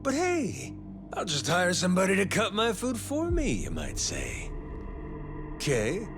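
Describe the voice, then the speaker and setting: A man's voice: sits high, wildly swooping pitch, a little slow, full character voice. A man playing a menacing, playful yakuza pirate captain in a video game dub, with a theatrical, folksy, taunting manner. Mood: mocking menace. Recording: music behind the voice.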